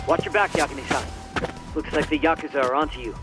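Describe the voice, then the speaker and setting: A man's voice: a little high, quick pace, close to an everyday voice. An actor playing an earnest, alert male informant in a video game, reporting politely and with urgency. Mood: anxious, urgent. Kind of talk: English video game cutscene acting. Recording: music behind the voice.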